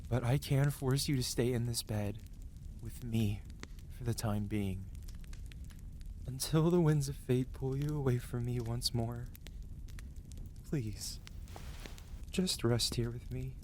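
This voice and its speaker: An ancient dragon, voiced by a man, speaks at an unhurried pace in a mid-pitched male voice. He is proud and scolding yet deeply devoted, with solemn, archaic phrasing in a fantasy ASMR roleplay. Clear ambient noise sits behind him. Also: a little mellow, lightly acted. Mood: tender, pleading. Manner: solemn, archaic, dramatic phrasing with flustered outbursts.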